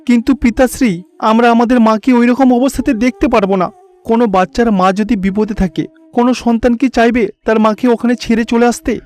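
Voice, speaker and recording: A man's voice: extremely high pitch, fairly even intonation, fairly quick, a little mellow, pitched above the performer's own voice. A man voicing a devoted young boy god in a cartoon, speaking in an earnest, pleading way.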